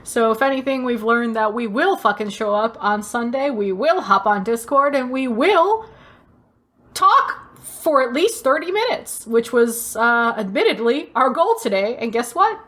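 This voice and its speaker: A woman's voice: a little high, expressive, unhurried, a bit bright. A woman co-hosting a podcast in casual banter, playfully exaggerating as she talks. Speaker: podcast co-host. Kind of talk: casual banter between friends.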